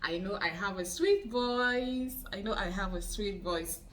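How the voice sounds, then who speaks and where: A woman's voice: mid-range voice, a little slow, far from the mic, a little hiss or hum. A Nigerian woman hosting a casual vlog, chatty and playful, saying most phrases twice. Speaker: YouTube channel host. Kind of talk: casual spiritual how-to vlog.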